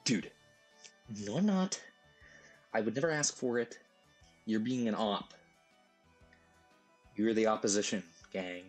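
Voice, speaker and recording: A man with a hoarse, worn-out voice, rambling goofily through a casual live stream while he plays a game. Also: fairly low pitch, very animated, a little slow, long pauses, faint music behind. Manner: rambling, goofy, jokey asides. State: hoarse, losing his voice.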